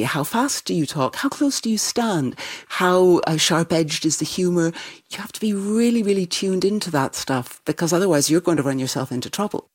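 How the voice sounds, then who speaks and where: A middle-aged woman with a transatlantic accent tinged with Irish, answering interview questions with enthusiasm and easy laughter. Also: on the low side. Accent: transatlantic, with some Irish. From United States. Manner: enthusiastic, laughs easily, animated. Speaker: novelist guest. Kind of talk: interview conversation.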